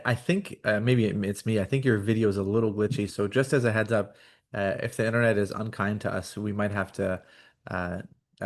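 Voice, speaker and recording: A man hosting an online case conference, upbeat and chatty with many fillers, over a video call. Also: on the low side. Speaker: host.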